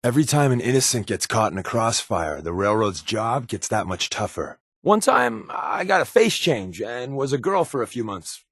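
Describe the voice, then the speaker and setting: A man's voice: mid-range voice, very animated, normal speed, hardly any pauses, fairly bright tone, breathy edge, no echo, studio quality, heavily acted. A man playing a wisecracking, secretive undercover agent in a video game, with a wry, glib, conversational delivery. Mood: earnest, guarded. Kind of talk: video game companion dialogue.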